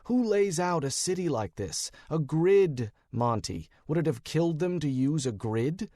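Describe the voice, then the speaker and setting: Wisecracking detective hero, played by a man, sarcastic, defensive, very animated pitch, audiobook. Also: measured pace, no room sound, studio quality. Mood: griping.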